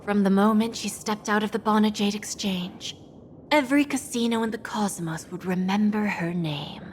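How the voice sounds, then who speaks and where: A woman's voice: mid-range voice, a little hiss or hum, natural voice. Woman playing a sly, composed female pawnbroker. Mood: coolly ominous. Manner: measured, insinuating, drops into breathy near-whispers. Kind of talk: scripted game dialogue, storytelling monologue. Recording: music behind the voice.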